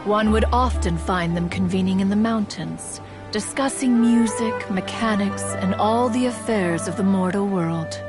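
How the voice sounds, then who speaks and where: A woman's voice: medium pitch, unhurried, music in the background, close to an everyday voice. A woman playing a proud, mournful, ancient immortal in a video game, telling stories in formal, archaic, stately speech. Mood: wistful, solemn. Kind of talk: scripted game storytelling.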